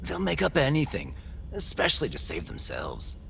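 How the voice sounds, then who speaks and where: An actor playing a smug, slippery crime boss in an audio drama, sardonic and mocking. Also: male voice, on the high side, wildly swooping pitch, normal speed, creaky, fairly bright tone, slight background noise, exaggerated voice.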